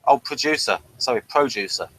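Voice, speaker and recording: A man with a slight speech impediment hosts a relaxed review chat in a mid-pitched voice. He reads a synopsis and talks things over hesitantly, with fillers and self-corrections along the way. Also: fairly quick, low-quality recording. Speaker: podcast host reading the synopsis.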